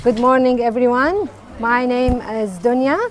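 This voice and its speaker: A woman from Iraq leading a hands-on bread-making workshop, explaining steps in simple, halting English with fillers. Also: fairly high pitch, steady tone, slight background noise. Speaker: baking workshop teacher. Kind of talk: hands-on cooking demonstration. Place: community hall kitchen.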